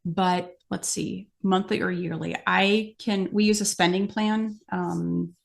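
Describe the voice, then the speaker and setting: An American woman with a lowish voice and plenty of vocal fry. She talks casually, as on a podcast, working through practical explanations aloud. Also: fairly even intonation, takes it slowly. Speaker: podcast guest host, amateur horse show exhibitor.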